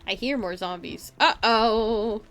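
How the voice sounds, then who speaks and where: A woman's voice: on the high side, slow pace, a bit bright, faint music behind. A woman giving casual live gameplay commentary in a playful way, talking to herself and her chat. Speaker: game streamer, host.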